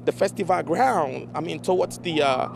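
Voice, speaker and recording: A high-voiced man with a gravelly creak gives a rambling eyewitness account. He stumbles through his phrases amid sirens and vehicle noise. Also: moderate pace. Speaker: eyewitness interviewee. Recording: noisy street with sirens.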